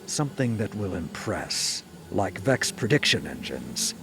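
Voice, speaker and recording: A man playing a grave, dutiful military commander in a video game, giving a serious, measured briefing. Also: sits low, big pitch swings, gravelly, exaggerated voice. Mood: grave, urgent. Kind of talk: dramatic video game acting. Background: game music.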